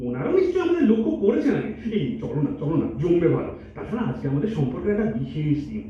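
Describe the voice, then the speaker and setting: A man's voice: sits high, wildly swooping pitch, rapid-fire, warm tone. Far-off, echoey, eager young man acted out. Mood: eager, persuasive. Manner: eager, insistent, repeats himself, mixes in English. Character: impulsive, chatty young boyfriend, eager and persuasive. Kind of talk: amateur staged audio drama acting. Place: multipurpose hall stage. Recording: distant, echoey hall recording, muffled, faint noise.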